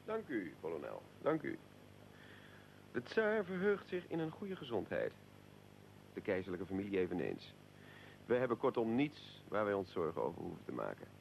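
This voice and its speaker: An actor playing a suave, evasive Russian military attaché in a radio drama, unctuously polite and deliberate. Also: male voice, lowish voice, wildly swooping pitch, vocal fry, darkish tone, slight background noise, full character voice, low-quality recording. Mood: effusively polite.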